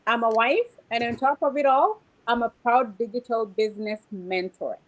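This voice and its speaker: High-voiced, gracious woman. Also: expressive, normal speed, rough recording. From Kenya. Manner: gracious, thankful. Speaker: digital business mentor, guest speaker. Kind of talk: upbeat livestream self-introduction.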